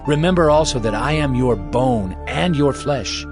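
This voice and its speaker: An actor playing an ambitious, scheming claimant to rule in a dramatized scripture recording, persuasive and oratorical. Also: male voice, medium pitch, expressive, normal speed, music in the background, natural voice. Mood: urgent persuasion. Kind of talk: dramatized scripture audio acting.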